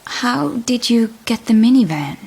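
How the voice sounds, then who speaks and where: A woman playing a troubled, guilt-ridden young bride in an audio drama, intense and halting, often dropping to whispers. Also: a little high, lively intonation, a little mellow, full character voice. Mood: shaken, guarded. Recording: clear ambient sound behind voice.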